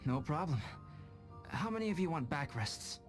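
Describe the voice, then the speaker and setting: A man voicing a calm, scholarly young alchemist in a video game, measured, formal and polite. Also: on the high side. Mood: calm, explanatory. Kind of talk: video game cutscene voice acting. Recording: game music behind voice.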